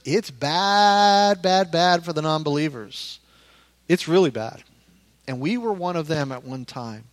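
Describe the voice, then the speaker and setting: High-voiced preacher, man in his fifties. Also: fairly bright tone, no room sound. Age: 50s. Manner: conversational, emphatic, repeats phrases. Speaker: pastor. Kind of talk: informal sermon to a congregation.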